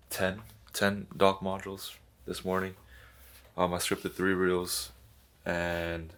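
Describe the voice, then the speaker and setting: A man's voice: low voice, a bit bright. A twenty-year-old man talking casually to camera in a vlog, laid-back, swearing freely and calling people bro. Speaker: vlogger and business owner.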